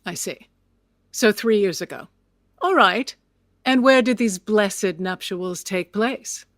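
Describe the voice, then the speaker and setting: A wealthy widow of the 1920s, speaking with imperious, cutting sarcasm and easy indignation. Her mid-pitched voice sits close and dry, in the manner of a period radio play. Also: expressive, slow, a bit bright, no room sound. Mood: icy, controlled. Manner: arch, sardonic, imperious. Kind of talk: period audio drama acting.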